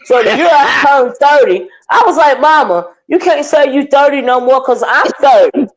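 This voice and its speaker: Chatty woman hosting a live stream, very high voice, quick to react. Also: poor audio. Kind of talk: casual chat.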